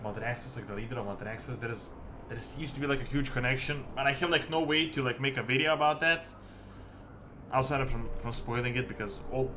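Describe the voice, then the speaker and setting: A man from Serbia, chatting casually to viewers as a game streamer in a halting, rambling way peppered with "like". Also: mid-range voice. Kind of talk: casual livestream chat with viewers. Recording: music behind the voice. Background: music.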